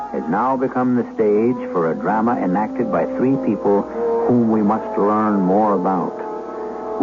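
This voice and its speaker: A man hosting an old-time radio drama, narrating in a measured, theatrical, ominous way. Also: lowish voice. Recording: thin, phone-like old radio recording. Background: music.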